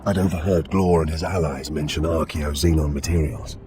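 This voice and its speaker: A man playing a grim inquisitor who narrates his dark investigations in a grave, measured, brooding game voice-over. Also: very deep voice, steady tone. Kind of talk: scripted first-person game narration. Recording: loud music behind.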